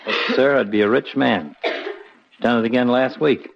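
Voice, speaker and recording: An American actor playing a folksy, wisecracking small-town barber in vintage radio comedy, breezy and colloquial. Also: male voice, mid-range voice, normal speed, vocal fry. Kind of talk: 1940s-50s radio comedy acting. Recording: dull, noisy vintage radio recording.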